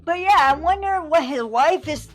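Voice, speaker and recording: A woman's voice: sits high, animated, normal speed, soft background music. A woman commenting on online drama, hesitant and musing, often trailing off. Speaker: commentary youtuber. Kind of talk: slow reflective commentary.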